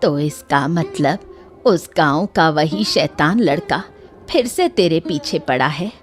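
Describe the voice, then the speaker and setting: A woman's voice: deep voice, lively intonation, slow, voice pushed down. Lady doing a kind old village aunty, concerned and affectionate, story style, music under it. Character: elderly kindly village housemaid's confidante woman.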